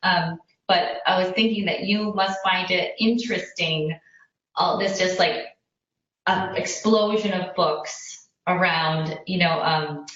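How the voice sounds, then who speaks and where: A woman's voice: fairly low pitch, a bit bright. An American woman hosting a casual online interview in an effusive, rambling way. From Indiana, United States. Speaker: host of an online parenting community chat. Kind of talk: casual video chat interview. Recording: very echoey room, distant muffled mic.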